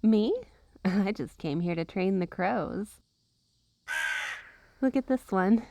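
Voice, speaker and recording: A woman's voice: mid-range voice, slow, lo-fi sound, background noise. A woman playing a possessive, witchy girlfriend in an ASMR roleplay, teasing and cooing with sugary sweetness and an undertone of menace. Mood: playful, teasing. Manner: teasing, cooing, sing-song baby talk to pets.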